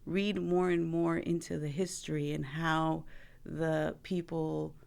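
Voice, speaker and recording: A woman therapist hosting a relaxed conversation, her voice sitting low with a gravelly fry. She talks in a loose, chatty way, peppered with "like" and quick laughs. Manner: chatty, heavy use of "like", laughs often. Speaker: host, marriage and family therapist. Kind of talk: casual podcast conversation.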